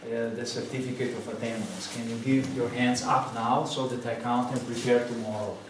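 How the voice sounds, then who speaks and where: A man's voice: medium pitch, flat delivery, normal speed. A man, a native Slovenian speaker, organizing a seminar and making announcements, businesslike with hesitant "uh"s in English. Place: seminar room. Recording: very echoey room, far from mic, loud noise.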